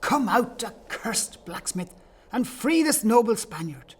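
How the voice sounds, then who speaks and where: A man's voice: high-pitched, very animated, slow pace, breathy edge, heavily acted. A man playing a kindly, solemn Irish priest in an audio play, speaking in archaic, declamatory phrases. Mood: indignant.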